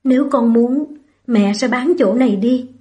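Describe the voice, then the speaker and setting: A woman's voice: on the high side, measured pace, mellow, lightly acted. A woman voicing a poor aging mother, anguished and loving, who talks in a pleading, tearful way.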